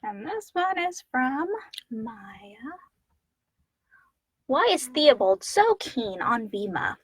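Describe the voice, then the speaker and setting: A woman's voice: a little high, very animated, takes it slowly, breathy edge, no room sound. Woman co-host, casual, dry sound. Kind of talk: casual live Q&A chat.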